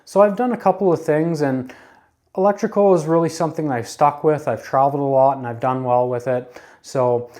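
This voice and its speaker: A man's voice: a little high, fairly quick, darkish tone. A man in his late thirties talking casually to camera, giving earnest, repetitive life advice about careers. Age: 30s. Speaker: advice vlogger.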